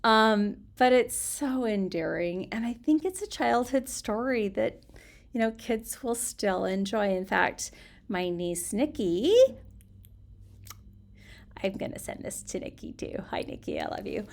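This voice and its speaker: An older woman talks loosely and fondly, as if to people she knows. Her voice crackles with heavy creak. She sounds short on sleep and a bit punchy, wandering from thought to thought in a chatty, expressive way. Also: on the high side, a little slow. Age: older, around 60s. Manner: chatty, affectionate, expressive, giggly. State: tired, slightly punchy from little sleep. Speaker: storytelling vlogger. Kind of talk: casual, rambling personal chat to camera.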